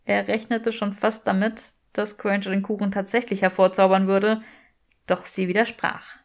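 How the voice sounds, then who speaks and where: A woman's voice: mid-range voice, level tone, moderate pace. A woman reading scripted fiction as an audiobook narrator. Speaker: audiobook reader.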